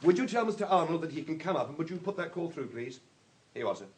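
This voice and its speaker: An actor in a radio drama playing a dutiful police assistant with a businesslike, respectful manner. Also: male voice, a little high, lively intonation, fast talker, lightly acted. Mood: brisk, dutiful. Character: brisk, dutiful young male police assistant.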